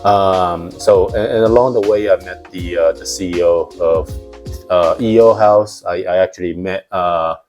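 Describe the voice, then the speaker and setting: Interview guest: low-pitched male founder, Japanese first language, halting casual speech, soft music underneath. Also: fairly even intonation. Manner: hesitant, many fillers and restarts. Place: house in Palo Alto.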